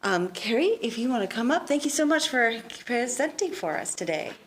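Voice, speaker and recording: A woman's voice: a little high, very animated, normal speed, slight background noise. Woman opening a neighborhood meeting. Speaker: neighborhood association meeting host. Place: meeting room.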